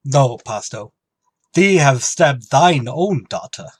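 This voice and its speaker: A man's voice: mid-range voice, expressive, slow pace, slightly rough, no echo. An actor playing a dim-witted male bar regular in an old-time radio comedy, bumbling through his lines. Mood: confused.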